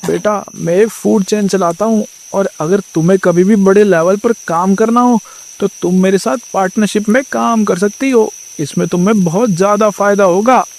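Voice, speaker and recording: A woman's voice: medium pitch, normal speed, darkish tone, slight background noise, voice pushed down, lightly acted. A woman voicing a kindly middle-aged man who runs a food chain, speaking politely and encouragingly in a storybook cartoon. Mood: friendly. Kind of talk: storybook voice acting.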